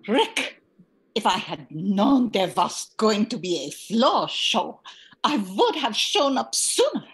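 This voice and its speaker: A woman playing a sly, teasing married old flame in a noir radio spoof, languid and husky. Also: medium pitch, big pitch swings, takes it slowly, heavily acted.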